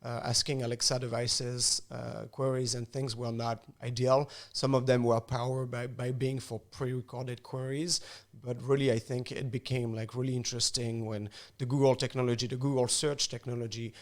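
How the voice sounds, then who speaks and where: A man's voice: medium pitch, level tone, moderate pace, hardly any pauses. A man with a French accent answering as an expert guest in conversation, with hesitant, rambling phrasing. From France.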